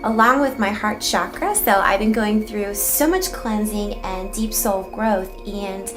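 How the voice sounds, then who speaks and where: A woman hosting a spiritual show, describing a healing session in a high, effusive voice, with music playing behind her. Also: measured pace. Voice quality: high-pitched, breathy and effusive. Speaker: show host interviewing a healer. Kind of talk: gushing spiritual testimonial.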